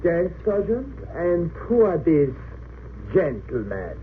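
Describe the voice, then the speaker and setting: A man's voice: a little high, sing-song, slow. A man playing a cold, imperious German officer presiding in a 1930s radio drama, curt and authoritarian. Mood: cold, contemptuous. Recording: tinny, noisy old radio recording.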